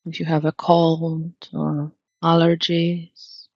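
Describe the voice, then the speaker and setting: Casting: female meditation guide, calm and unhurried, lowish pitch, long pauses, dry and slightly dull lo-fi recording. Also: vocal fry, dry recording. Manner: calm, soothing, long pauses between phrases.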